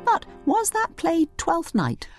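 A woman's voice: very high voice, wildly swooping pitch, slow pace, over loud music. A woman narrating a scripted, dramatised study guide like a tour guide, theatrical and explanatory, slipping in asides to the listener.

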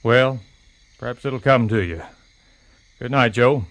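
A man's voice: mid-range voice, measured pace, a bit bright. An actor playing a polite, guarded young gunman in a western audio drama, speaking in a casual, laconic way.

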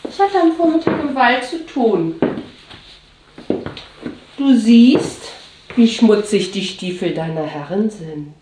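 A woman playing a haughty mistress in an intimate audio drama, commanding and condescending, often slipping into breathy whispers. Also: on the high side, dark tone, reverberant, slight background noise. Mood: stern, teasing. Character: haughty dominatrix mistress commanding her servant. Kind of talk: scripted German audio drama monologue, intimate.

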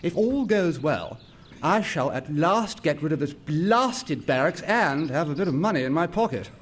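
An actor playing a genial, eager young upper-class British country-house owner, hearty and excitable, in a comic audio drama. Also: male voice, on the high side, moderate pace. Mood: confiding.

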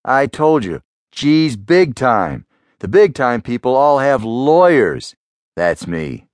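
A man narrating a story, voicing a self-important, smooth-talking male lawyer who is a father. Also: mid-range voice, big pitch swings, slow, no echo, clean studio sound. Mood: boastful.

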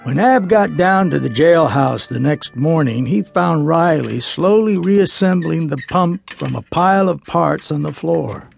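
An actor narrating a western tale as a folksy storyteller, with an American accent and a gravelly voice. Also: male voice, a little high, animated, measured pace, few pauses, exaggerated voice. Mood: knowing, measured. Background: music.

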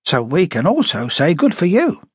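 A man voicing a composed, tactful female police detective in audiobook dialogue, measured and polite. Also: fairly high pitch, huge pitch swings, husky, no echo, studio quality, voice pushed up, full character voice. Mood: reassuring.

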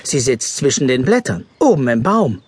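Animated man narrating a children's story. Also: mid-range voice, moderate pace, a little hiss or hum. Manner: expressive storytelling.

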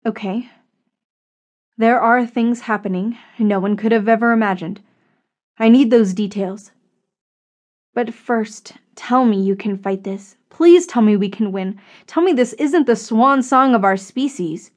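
A woman's voice: on the high side, a little slow, a little mellow, lightly acted. A woman narrator voicing a strong, earnest, fiercely loyal woman in an audiobook story. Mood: urgent.

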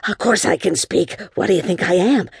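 A woman voicing a cheeky, wisecracking male basset hound with a brash, slangy manner in a children's story. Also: a little fast, vocal fry, darkish tone, husky, no room sound, studio quality, full character voice. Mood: boastful.

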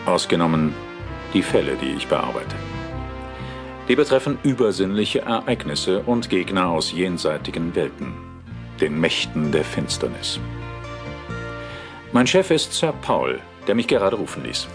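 Cheeky inspector and ghost hunter, lowish voice, dry mock-obedience, radio-play delivery over music. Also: male voice, big pitch swings, unhurried, pauses often, full character voice. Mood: matter-of-fact. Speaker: lead character and narrator. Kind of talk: German audio drama acting and first-person narration. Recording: studio audio drama with music bed.

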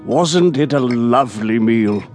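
An actor playing a genteel, drily witty dinner guest in a radio drama, polished and formal in speech. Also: male voice, mid-range voice, lively intonation, a little slow, a little mellow, soft background music, natural voice. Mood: genial. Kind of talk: audio drama acting.

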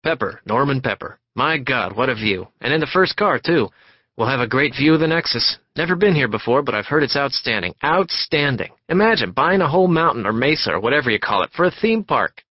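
A loud, boastful man who never stops talking, as a male narrator puts him on. He booms and pushes his words out fast, in a muffled, dry audiobook recording. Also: very animated, dry recording. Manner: booming, pushy, rapid-fire. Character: loud brash heavy-set male botanist, boastful chatterbox. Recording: dull dry studio recording.

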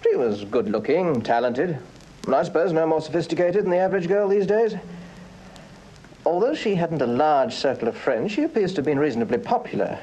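British male inspector, husky and businesslike, methodical reporter of facts, detective radio drama. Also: on the high side, expressive, fairly quick, warm tone, low-quality recording. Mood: factual, methodical. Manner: matter-of-fact, methodical reporting. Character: brisk, businesslike male police inspector, deferential to his chief. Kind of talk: full-cast radio crime drama acting.

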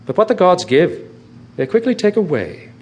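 An actor playing a witty, languid aristocratic gentleman in a radio drama, speaking in theatrical epigrams. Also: sits high, big pitch swings, normal speed, slightly rough, exaggerated voice. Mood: urging.

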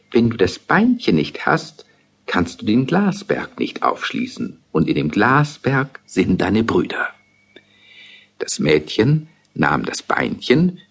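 A husky-voiced man narrating a fairy tale in a measured, storytelling manner. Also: fairly low pitch.

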